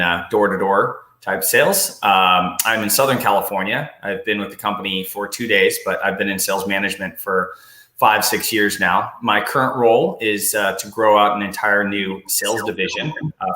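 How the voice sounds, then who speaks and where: Low bright man in an echoey room. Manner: hesitant, many fillers. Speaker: sales executive, panelist. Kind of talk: self-introduction on a panel. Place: home. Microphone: video call.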